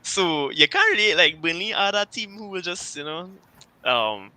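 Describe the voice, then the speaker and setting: A man's voice: high voice, expressive, normal speed, sharp tone, no room sound. A man co-hosting a fantasy football podcast, talking in a laid-back, teasing way and laughing often. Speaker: podcast co-host. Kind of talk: casual chat about fantasy football.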